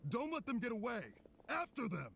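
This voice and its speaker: A barking, gravelly game soldier. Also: male voice, extremely high pitch, very animated, moderate pace, exaggerated voice. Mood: aggressive. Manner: barks orders. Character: shouting soldier of a commission, aggressive. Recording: game audio with loud music.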